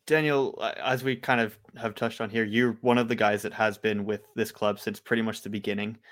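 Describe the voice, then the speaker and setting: A man's voice: lowish voice, fairly even intonation, fairly quick, fairly bright tone. A man co-hosting a soccer show, asking loose, rambling questions. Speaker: co-host. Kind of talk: conversational interview questions.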